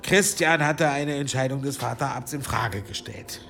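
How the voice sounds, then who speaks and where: An actor playing a guarded, prickly monk and healer in a German medieval audio drama, curt and defensive. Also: male voice, mid-range voice, moderate pace, vocal fry, pushed and bright, soft background music.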